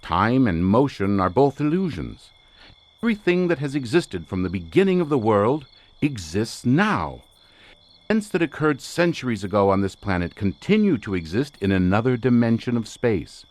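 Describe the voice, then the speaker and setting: An actor playing an obsessive, eccentric occult researcher in a radio suspense drama, lecturing in grand theatrical phrases. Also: male voice, mid-range voice, very animated, moderate pace, lightly acted. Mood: visionary fervor. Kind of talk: old-time radio suspense drama acting.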